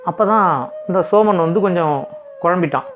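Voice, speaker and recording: A man's voice: high voice, a little fast. A man narrating a children's bedtime story informally, in simple conversational language. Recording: thin phone-like sound, music behind.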